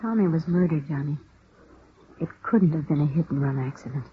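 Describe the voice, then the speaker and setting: A woman's voice: low voice, a little fast, close to an everyday voice. An actress with an American accent playing a grieving, bitter widow in her thirties, brooding and intense, in 1950s radio drama style. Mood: insistent, accusing. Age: 30s. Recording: muffled, hissy vintage radio recording.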